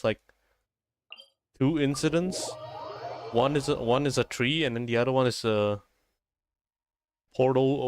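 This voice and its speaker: A man giving casual live-stream game commentary in a laid-back, halting, slangy way. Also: medium pitch. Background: game music.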